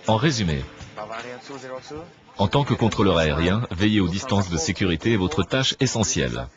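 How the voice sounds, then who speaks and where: A man reading a scripted documentary narration in a measured, didactic manner. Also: low voice, big pitch swings, lo-fi sound. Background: clear background music.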